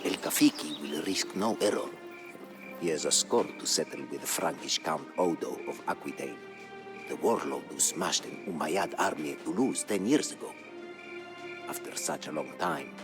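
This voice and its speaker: An actor playing an aged, solemn chronicler of military campaigns, narrating a game storyline in a grave, measured way. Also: male voice, very deep voice. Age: older. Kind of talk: dramatic scripted storyline narration.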